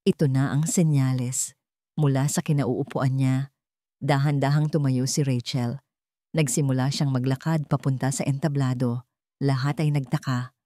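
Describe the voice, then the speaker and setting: A woman's voice: rumbling low, fairly even intonation, moderate pace, dark tone, dry recording, studio quality. A woman storyteller reading a scripted dramatic story.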